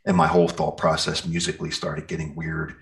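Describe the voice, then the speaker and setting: Middle-aged American male musician, very low gravelly voice, loose conversational interview answers, often searching for words. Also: completely flat delivery, brisk, a bit bright. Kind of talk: casual interview chat.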